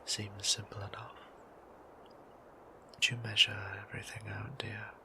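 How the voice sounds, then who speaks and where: Man playing a whispering, creaky-voiced gentle vampire priest. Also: completely flat delivery, full character voice. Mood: tender, courteous. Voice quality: breathy whisper with creaky low tone. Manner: formal, old-fashioned, polite, measured phrasing. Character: gentle, courteous male vampire priest, fond and bashful. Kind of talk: intimate whispered audio roleplay.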